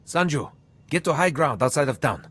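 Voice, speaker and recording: A man's voice: fairly high pitch, expressive, moderate pace, fairly bright tone, husky. A man playing a level-headed rebel lieutenant in a video game. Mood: matter-of-fact.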